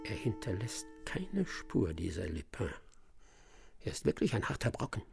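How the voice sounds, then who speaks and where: An actor playing a brilliant, proud and impatient detective in a radio crime comedy, sarcastic and condescending. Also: male voice, on the low side, very animated, moderate pace, vocal fry, faint music behind, full character voice.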